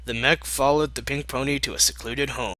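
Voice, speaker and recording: Man, mid-pitched, very bright forceful voice, dry sound, mocking narrator, sarcastic, exasperated. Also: fairly even intonation, fairly quick, no echo. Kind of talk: mocking fan fiction reading with ad-libbed asides.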